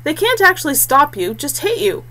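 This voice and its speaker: A woman voicing a steady, protective young male warrior, brisk and urging, in a fantasy audiobook. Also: high voice, pitched above the performer's own voice, exaggerated voice. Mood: shouting.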